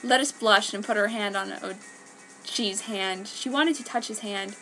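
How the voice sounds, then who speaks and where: A woman's voice: medium pitch, a little slow, low-quality recording. A woman reading a story aloud at home in a theatrical, emphatic way. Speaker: fan fiction reader. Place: a room at home. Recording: faint background noise, home room. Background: very quiet instrumental music, faint noise.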